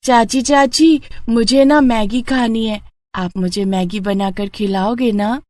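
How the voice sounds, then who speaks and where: A woman voicing a little niece, fussy and wheedling, in an animated family story. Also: on the high side, slow pace, no echo. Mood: pleading. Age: child figure. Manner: wheedling, childish pleading. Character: little niece girl, pleading and fussy about food.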